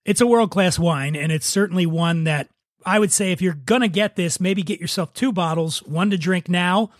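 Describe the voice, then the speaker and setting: A man's voice: sits high, normal speed, dry recording, clean studio sound. A man hosting a podcast, chatting casually and explaining things with enthusiasm and the odd swear word. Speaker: podcast host. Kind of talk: casual chat about wine.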